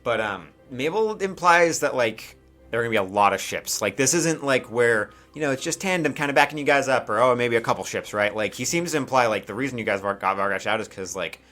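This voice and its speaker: A man casually improvising narration as a game master, informal and halting, with frequent asides. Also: mid-range voice, lively intonation, bright, forceful tone, faint music behind.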